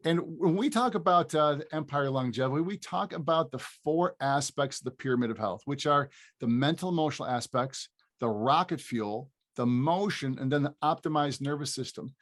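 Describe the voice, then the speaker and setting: A man's voice: fairly high pitch, normal speed, hardly any pauses. Man in his fifties hosting a podcast. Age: 50s. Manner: rambling, restarts phrases, laughs easily. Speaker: podcast host. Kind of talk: casual interview chat.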